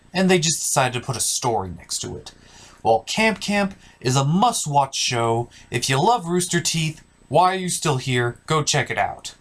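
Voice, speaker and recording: A man's voice: a little high, fairly bright tone. A man hosting a scripted comedic cartoon review, casual and theatrical in his delivery.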